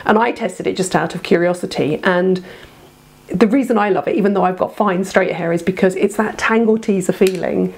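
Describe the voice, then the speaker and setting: A woman's voice: fairly low pitch, fairly quick, darkish tone, breathy edge. A woman in her fifties, congested from a head cold, chatting to camera about beauty products at home, gushing with many ums. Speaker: beauty vlogger. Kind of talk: casual to-camera product review. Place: home room, indoors.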